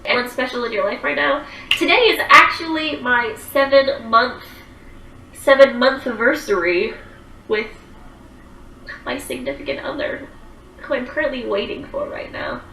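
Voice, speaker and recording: Young woman, 20s, rambling livestream chat, bright edgy voice, echoey room a bit off-mic. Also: on the high side, animated, normal speed, pauses often, far from the mic, slight background noise. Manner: chatty, rambling, lots of "like" and "um". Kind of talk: casual livestream chat reading viewer comments.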